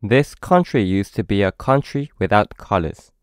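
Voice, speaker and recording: A man's voice: lowish voice, lively intonation, normal speed, smooth, dry recording, clean studio sound. A man with a British accent reading a children's story aloud, expressively, as if to a young child. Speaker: storybook narrator.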